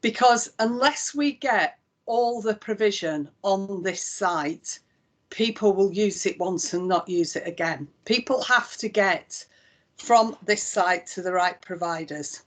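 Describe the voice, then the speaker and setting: A woman's voice: mid-range voice, takes it slowly. A woman with a Lancashire accent, a chief executive giving a live walkthrough of a website and explaining each click in a conversational way with frequent ums. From Northwest England. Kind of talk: live software demonstration.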